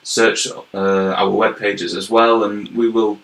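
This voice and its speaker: Brief: young Yorkshire man, interview guest, earnest and explanatory, gravelly tone, roomy echo. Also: lowish voice, little pitch movement, slow, a bit bright. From York, England. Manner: earnest, explanatory, repeats words as he goes. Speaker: guest, health and wellbeing manager. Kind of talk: casual interview chat. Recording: roomy echoey recording.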